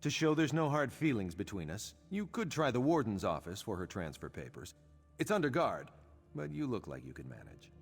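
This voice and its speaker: An actor playing a sly, smooth-talking captive thief in a video game, measured and teasing in a theatrical way. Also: male voice, on the low side, very animated, exaggerated voice. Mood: smooth, knowing. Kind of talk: scripted video game dialogue. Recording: long reverb, music underneath.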